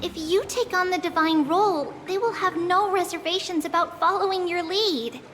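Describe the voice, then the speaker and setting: A woman playing a gentle, caring girl-like guide in a video game, speaking softly and reassuringly. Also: very high voice, moderate pace. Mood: earnest, supportive. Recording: long reverb, music behind.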